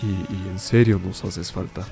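A man playing a flippant, self-important superhero with an insistent manner in an animated fan film. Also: sits low, moderate pace, creaky, soft background music. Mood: pleading. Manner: insistent, boastful.

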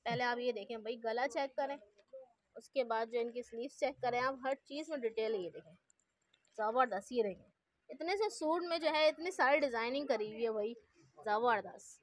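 A woman, speaking high and fast as she hosts a shop tour. She keeps praising every item with eager enthusiasm. She is recorded on a handheld phone inside a clothing shop. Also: no echo. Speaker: shopping vlog host. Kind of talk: casual shop tour presenting.

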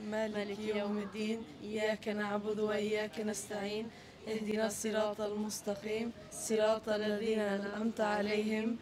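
Quick young woman in a busy crowd. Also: mid-range voice. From Serbia. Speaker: interviewee reciting Quran. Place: indoor shopping mall. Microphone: interviewer's small handheld mic. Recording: busy mall crowd noise.

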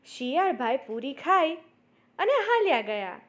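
A woman's voice: high-pitched, big pitch swings, unhurried, a bit bright. A woman narrating a story for children as a storyteller, addressing young listeners directly.

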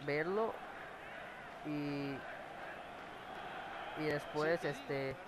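A man's voice: a little high, fairly even intonation, drawn-out words, heavy background noise. A man with a Mexican accent and a cold, chatting casually while playing and swearing at the plays. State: has a cold. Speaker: gamer commentating his own match. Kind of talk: casual gameplay chat. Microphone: clip-on mic near his mouth.

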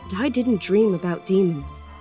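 A woman's voice: medium pitch, lively intonation, moderate pace, warm tone, close to an everyday voice. Woman acting a sorrowful young princess over music. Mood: melancholy, haunted. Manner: earnest, melancholy. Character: anxious, sorrowful young princess telling her story. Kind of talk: audio drama acting and first-person narration.

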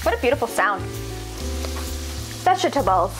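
A woman's voice: a little high, big pitch swings, normal speed, music in the background. A woman in her thirties chatting casually and playfully as she co-hosts a home cooking video, with a sarcastic streak. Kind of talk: casual banter while cooking. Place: home kitchen.

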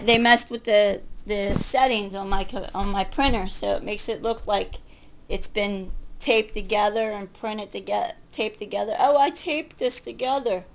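A woman's voice: mid-range voice, background noise. A middle-aged woman ranting casually in a combative, sarcastic manner, recorded on a webcam in a home kitchen. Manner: agitated, combative, sarcastic, profane. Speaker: vlogger. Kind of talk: confrontational ranting to camera, casual.

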